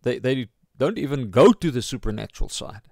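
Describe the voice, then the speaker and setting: A South African man teaches in the manner of an informal sermon. His voice is medium in pitch with a gravelly creak, recorded dry without room echo. He often gropes for the right word and corrects himself. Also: sing-song, darkish tone. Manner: halting, searching for words, self-correcting. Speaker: pastor.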